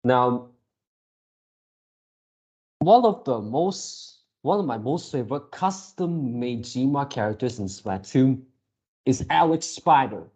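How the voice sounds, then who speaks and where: A man hosting a casual fan reaction video, gushing excitably in halting, drawn-out phrases. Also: medium pitch.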